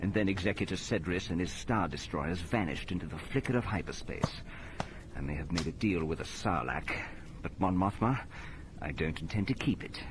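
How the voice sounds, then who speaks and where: A deep, shrewd governor telling war news. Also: male voice, expressive, moderate pace, noisy background. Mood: grave, confiding. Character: calm, shrewd male planetary governor recounting a battle. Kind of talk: dramatic storytelling in space-opera audio drama.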